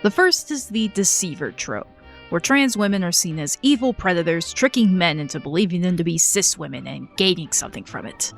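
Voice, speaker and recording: Woman reading her video essay, kinda low voice, soft music under it. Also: lively intonation, a little fast, vocal fry. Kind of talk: scripted video essay narration.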